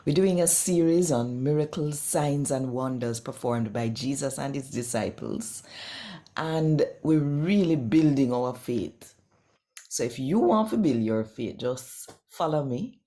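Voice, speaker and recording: Female Jamaican devotional reader, deep mellow voice, unhurried and expressive delivery. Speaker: channel host and scripture reader. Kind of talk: devotional Bible reading in Patois.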